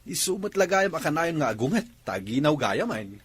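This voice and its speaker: Chummy expectant husband, Filipino Ilocano accent, rough-edged voice, lively intonation, radio drama. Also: male voice, fairly high pitch, moderate pace. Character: talkative expectant young husband confiding in his buddy.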